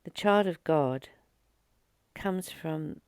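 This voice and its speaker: A woman reading a spiritual study text aloud and explaining it informally, in a halting, reflective way with pauses between short phrases. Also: low voice, a little mellow, clean tone, no echo. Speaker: spiritual study reader and commentator. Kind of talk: slow read-aloud study with informal commentary.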